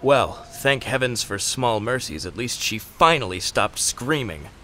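A callous Victorian patriarch voiced by a man, curt and imperious. The haughty, contemptuous delivery swings dramatically in pitch, in the theatrical manner of a comic dub. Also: lively intonation, unhurried, loud music behind the voice, close to an everyday voice. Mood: brisk.

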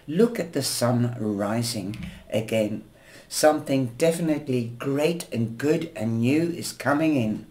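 A woman giving a weekly tarot horoscope reading in a gentle, chatty, rambling way, her voice a little nasal from a cold. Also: very deep voice. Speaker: tarot reader. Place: indoors at a table, camera over the cards.

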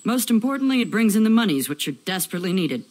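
A woman's voice: mid-range voice, big pitch swings, quick pace, darkish tone. A woman playing a brash, money-minded tradeswoman in a video game, talking in a blunt, folksy way. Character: gruff, plain-spoken adult tradeswoman, brash and money-minded. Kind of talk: video game character acting.

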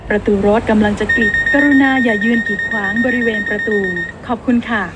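A woman giving scripted train door-closing announcements in a formal, measured manner. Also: a little high, fairly even intonation. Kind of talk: scripted public transit announcement. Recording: train announcement buried in loud noise. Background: door alarm and train noise.

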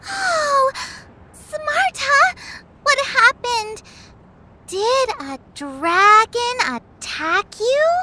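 A woman's voice: very high voice, expressive, extremely slow, heavy background noise, heavily acted. A woman voicing a dramatic, fretful little girl in a children's cartoon, theatrical and exclaiming. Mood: dramatic dismay.

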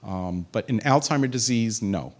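A man's voice: on the low side, animated, normal speed, a little mellow. A man in his fifties, a physician, giving a conversational public talk in a community center room, speaking into a handheld microphone with many fillers and restarts. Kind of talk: public lecture with audience questions.

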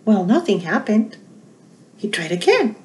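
A woman's voice: medium pitch, measured pace, a little hiss or hum. A middle-aged woman hosting a storytime for young children, speaking to them directly. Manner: animated, addressing little children directly. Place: small indoor room. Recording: roomy, echoey home webcam sound.

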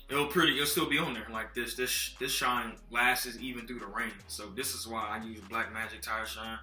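Young man, bright-toned, lowish voice, laid-back filler-heavy rundown, echoey apartment room, faint music. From Texas. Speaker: vlogger reviewing car care products. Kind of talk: casual product rundown. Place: dim apartment living room, indoors. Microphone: laptop built-in mic.

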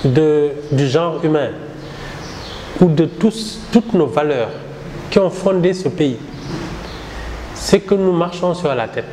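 A middle-aged man from Senegal speaks slowly and deliberately, his voice sitting a touch high for a man. He answers interview questions with measured, reflective phrasing. The small indoor room adds a long, roomy reverb, with faint ambience underneath. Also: animated, dark tone, a little hiss or hum. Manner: measured, reflective, gestures a lot. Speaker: satirical newspaper founder.

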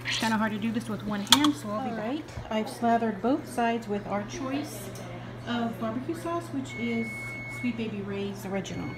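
A woman's voice: on the high side, normal speed, a loud crowd. A middle-aged woman chatting casually as she films herself cooking a family dinner in her home kitchen, in a homey, talkative way. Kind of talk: casual cooking vlog narration. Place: home kitchen and living room. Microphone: phone camera held in hand.

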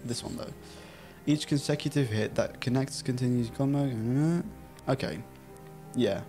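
A man's voice: medium pitch, unhurried, warm tone, faint music behind. Giggly young Dutch man with British-sounding English. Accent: Dutch speaker with a British-style English accent. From Netherlands. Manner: giggly, easily flustered, reads chat and game text aloud. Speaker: streamer. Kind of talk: casual game stream chat. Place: small room at home, indoors.

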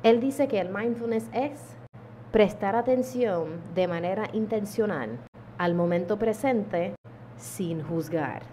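A woman, calmly teaching a mindfulness lesson to camera, with deliberate pauses. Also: medium pitch, a little hiss or hum. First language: English. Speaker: yoga teacher. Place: indoor room at home. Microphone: small handheld lavalier mic held close.

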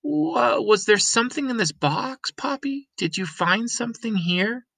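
A man's voice: very high-pitched, lively intonation, unhurried, creaky, pushed and bright, dry recording, pitched above the performer's own voice, full character voice. A man voicing a concerned young girl with urgent questions in a graphic novel read-aloud.

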